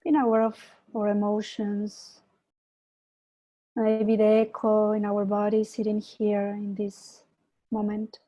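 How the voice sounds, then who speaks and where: A woman from Peru, a mindfulness teacher, giving an online talk and gently guiding a meditation over a video call. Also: mid-range voice, completely flat delivery, lo-fi sound. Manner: slow, soft guiding voice with gentle invitations. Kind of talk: online presentation and guided meditation.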